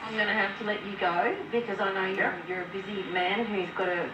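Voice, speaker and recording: A woman's voice: mid-range voice, fairly even intonation, fairly quick. A woman talking conversationally, heard through a radio receiver. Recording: distant, echoey, very noisy radio reception.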